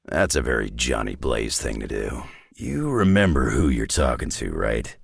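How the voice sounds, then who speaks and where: An actor playing a gruff, battle-worn older male mutant warrior in a video game, with a raspy, gravelly voice and dry, sardonic, clipped delivery. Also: very deep voice, big pitch swings, normal speed, no echo, heavily acted. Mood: gruff, matter-of-fact. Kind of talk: video game character voice lines.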